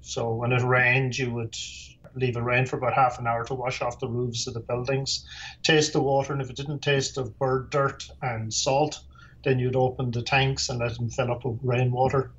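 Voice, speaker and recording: A man's voice: mid-range voice, flat delivery, moderate pace, a bit bright, breathy edge, muffled recording. A middle-aged man with an Irish accent, a former lighthouse keeper answering interview questions in a plain, matter-of-fact way with dry humor. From Ireland.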